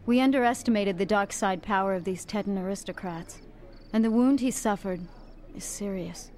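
A woman's voice: medium pitch, normal speed, a little mellow, slight background noise, natural voice. An actress playing an earnest, caring young female Jedi apprentice in an audio drama. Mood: concerned.

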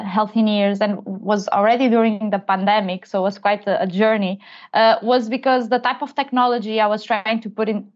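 A woman's voice: fairly high pitch, darkish tone. Portuguese-accented woman, slightly muffled recording. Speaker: podcast guest, tech transfer professional. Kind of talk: conversational interview answers.

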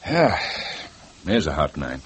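A police lieutenant from an old radio drama, patiently drawing out a suspect. His voice sits very low but moves with lively swings of pitch. A faint hiss runs under the old broadcast. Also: measured pace, full character voice. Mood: probing. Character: calm, probing male police lieutenant. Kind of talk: 1950s radio drama acting.

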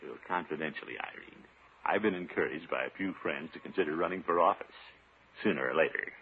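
A man's voice: a bass voice, quick pace, gravelly. An actor playing a pompous, eager middle-aged city official in a 1950s radio comedy, blustering and bluffing his way along. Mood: confiding, hopeful. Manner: blustery, chuckling, hesitant self-important delivery. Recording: noisy, tinny 1950s radio recording.

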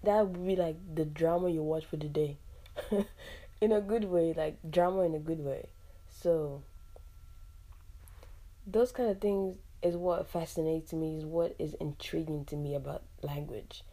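Chatty woman with a dark voice. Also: low voice, a little slow. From Nigeria. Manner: chatty and animated, laughs often, many fillers. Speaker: podcast host. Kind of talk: casual solo podcast talk.

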